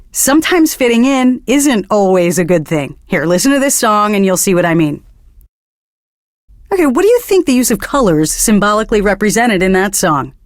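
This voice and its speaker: A pretentious young indie radio DJ for a video game, acted by a woman. She delivers song patter with earnest, condescending self-importance at a middling pitch. The sound is very dry and close. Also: dry recording, lightly acted. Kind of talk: radio DJ patter between songs.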